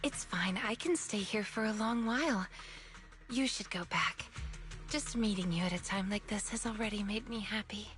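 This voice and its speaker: An actress playing a gentle, apologetic young woman in a video game, speaking in a soft, measured, earnest way. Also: mid-range voice, soft background music, close to an everyday voice. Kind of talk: video game story voice acting.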